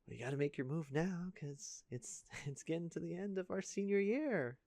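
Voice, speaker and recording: Man as halting, caring teenage best friend, close-up. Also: on the high side, a little mellow, no room sound, close to an everyday voice. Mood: teasing, coaxing. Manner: casual, halting, stammering, conversational. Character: earnest, caring teenage male best friend, high school senior. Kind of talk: intimate audio roleplay addressed to the listener.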